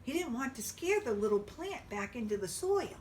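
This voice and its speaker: A woman reading a picture book aloud to young children, expressively and with drawn-out emphasis. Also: fairly high pitch, very animated, darkish tone, distant mic, a little hiss or hum. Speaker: children's storybook reader.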